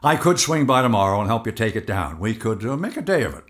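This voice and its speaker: An actor playing a jovial literary agent in an audio drama, effusive with flattery and fond of chummy teasing. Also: male voice, fairly low pitch, quick pace. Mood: amused, surprised.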